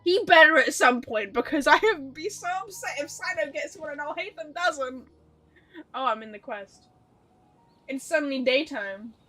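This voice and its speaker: A woman's voice: high voice, expressive, moderate pace, bright, forceful tone, soft background music. A woman livestreaming a video game in casual, chatty, rambling and playful talk, with some loose swearing. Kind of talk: casual gaming stream chat.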